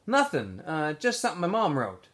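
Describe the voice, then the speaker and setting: A man's voice: fairly high pitch, huge pitch swings, moderate pace, fairly bright tone, voice pushed up. A man voicing a polite, awkward teenage boy in a storybook, with a hesitant, casual way of talking. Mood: awkward, amused.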